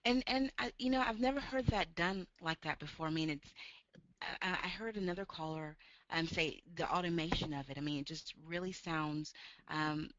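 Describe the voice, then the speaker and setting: An earnest woman with a low voice who phones in to a live call-in session, her line a little dull. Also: normal speed, fairly bright tone, no echo. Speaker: caller. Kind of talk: casual phone question.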